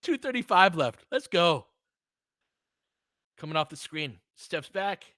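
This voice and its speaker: A man's voice: fairly high pitch, big pitch swings, slow pace, sharp tone, no room sound, studio quality. A man giving casual, slangy live basketball play-by-play as a partisan fan host, often in short clipped phrases.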